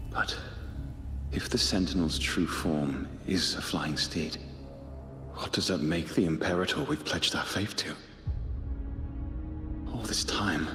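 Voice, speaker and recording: A very deep, weary prisoner poet. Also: male voice, a bit bright. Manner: polite, wry, resigned. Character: weary, composed condemned male poet, quietly defiant. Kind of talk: scripted video game dialogue. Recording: echoey long reverb, music behind.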